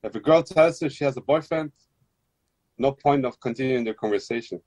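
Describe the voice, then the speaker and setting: A man from Germany with a medium-pitched voice chats casually. He speaks in blunt, short phrases that he often repeats, and the sound is dry with no room around it. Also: brisk, darkish tone, no room sound. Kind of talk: casual chat.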